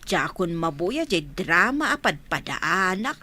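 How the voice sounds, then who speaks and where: A woman's voice: on the low side, big pitch swings, unhurried, sharp tone, exaggerated voice. A woman playing a worried, scolding village mother in a radio drama, with a Filipino accent. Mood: nagging. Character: worried, hard-up village mother of grown children.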